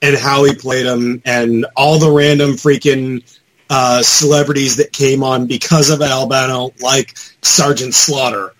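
A man's voice: medium pitch, steady tone, unhurried, a bit bright, poor audio. A man talking casually and enthusiastically as an opinionated panelist in a group podcast chat.

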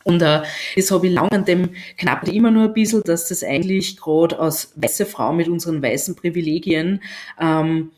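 Lower-voiced woman, musing. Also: normal speed, darkish tone. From Austria. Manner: reflective, searching for words with frequent "ähm". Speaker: podcast co-host. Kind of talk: casual conversation.

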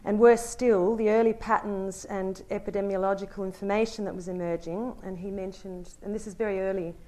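A woman from Italy, a newspaper correspondent, giving a prepared panel talk in an articulate, measured way with dry wit. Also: mid-range voice, fairly even intonation, darkish tone.

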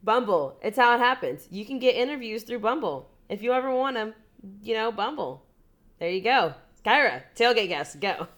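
A young woman hosting a casual podcast, teasing her friend and laughing often. Her pitch swings widely as she talks. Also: expressive, normal speed, fairly bright tone. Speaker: podcast co-host.

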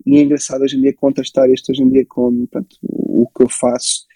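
A young man with a European Portuguese accent talks casually as an interview guest. His voice is very dark and mellow, with a gravelly creak and a husky edge. He tells his story in a conversational way. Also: medium pitch, very animated, fairly quick, dry recording, low-quality recording. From born in Belgium, grew up in Portugal from age six. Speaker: interview guest, photographer and filmmaker.

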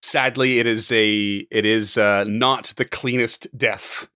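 Hoarse man running a tabletop game. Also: on the low side, fairly even intonation, slow pace, bright, forceful tone, dry recording, clean studio sound. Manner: rambling, laughs often, restarts. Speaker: game master. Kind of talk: tabletop podcast chat.

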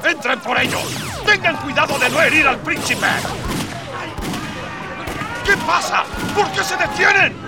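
A man playing a bullying, gravel-voiced alien colonel who shouts commands in a radio drama. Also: extremely high pitch, lively intonation, unhurried, pauses often, heavily acted. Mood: furious. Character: tyrannical alien colonel, bullying, barking orders at troops. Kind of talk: Spanish-language radio drama acting. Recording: echoey, distant, loud music behind.

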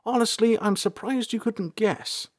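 A roguish fantasy man, acted out by a male narrator talks in a lifted pitch with a casual, teasing air. He sounds loyal and sure of his friends. The recording is dry, as in an audiobook. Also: measured pace, no room sound. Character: gruff-living, teasing male rogue in leather jacket. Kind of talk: audiobook character dialogue.

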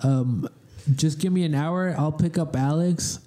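A man's voice: fairly high pitch, measured pace, dark tone. A man co-hosting a podcast in casual chat with friends, trading loose, profane, teasing banter. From Spanish-speaking family. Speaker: podcast co-host.

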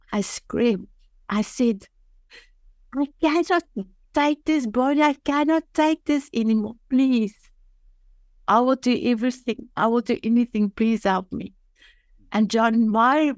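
A woman's voice: mid-range voice, no echo, clean studio sound. An older woman from South Africa, a native Afrikaans speaker with a South African accent, telling her personal story as a podcast guest in an earnest, heartfelt way with many restarts. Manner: earnest and heartfelt, with frequent restarts and simple phrasing.

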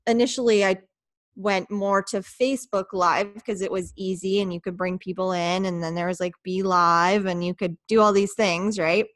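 A woman's voice: mid-range voice, normal speed. A woman in her late twenties from Canada, chatting casually as a podcast guest with lots of "like". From Ottawa, Canada. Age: 20s. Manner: relaxed, laughing, lots of "like". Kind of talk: casual interview chat.

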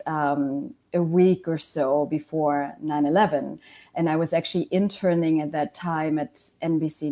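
Woman, forties, grew up in Germany; documentary filmmaker as guest, low and mellow, earnest with lots of restarts. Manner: earnest, many fillers and restarts. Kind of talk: interview answers.

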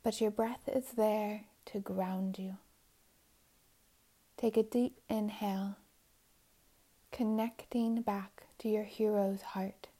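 A woman narrates a guided meditation at a slow, unhurried pace. Her voice is relaxed and mellow, at a medium pitch. Phrases come with long pauses between them and trail off softly and breathily.

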